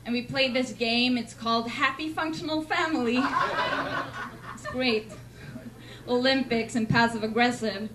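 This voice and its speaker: A young woman from Sweden with a Swedish accent, doing a deadpan, self-mocking stand-up set in a comedy club. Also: a little high, measured pace, long pauses. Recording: noisy club recording.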